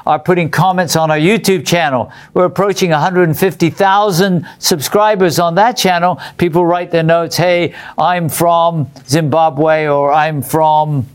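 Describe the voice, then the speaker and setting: A man's voice: fairly high pitch, fairly even intonation, a little slow, few pauses, smooth. A man hosting a religious study program, reading out viewer letters and putting questions to his group and viewers.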